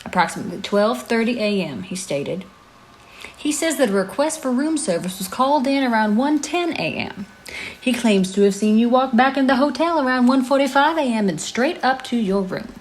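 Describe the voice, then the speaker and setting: A woman's voice: on the high side, lively intonation, moderate pace, a little hiss or hum, lightly acted. A woman playing a sceptical radio host in an audio drama, with a pointed, accusatory, articulate presenter delivery. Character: probing, sceptical female radio show host. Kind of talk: audio drama acting as live radio interview.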